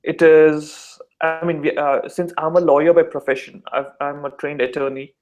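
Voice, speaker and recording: Indian-accented male lawyer, debate guest, hesitant and explanatory, slightly high voice. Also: flat delivery, normal speed. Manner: explanatory, hesitant with many fillers. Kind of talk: casual online debate.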